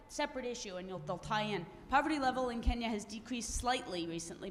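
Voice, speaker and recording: A woman moderating a conference panel, introducing speakers briskly and stumbling over a name. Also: medium pitch. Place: conference hall. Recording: long hall reverb, faint room noise.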